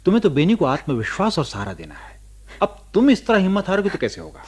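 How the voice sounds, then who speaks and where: A man's voice: high-pitched, wildly swooping pitch, fast, slightly rough, exaggerated voice. An actor playing a fatherly, reassuring doctor in a Hindi radio drama, dropping in hums and English phrases. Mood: soothing. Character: reassuring male doctor, fatherly and jovially brisk.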